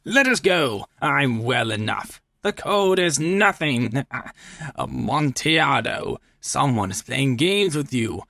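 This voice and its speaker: A man's voice: mid-range voice, animated, slow pace, creaky, bright, forceful tone, no room sound, studio quality, pitched above the performer's own voice, full character voice. A man voicing a boastful, tipsy, hearty wine connoisseur in a storybook reading, exclamatory and scornfully dismissive. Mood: insistent, dismissive. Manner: exclamatory, blustering, dismissive with laughs.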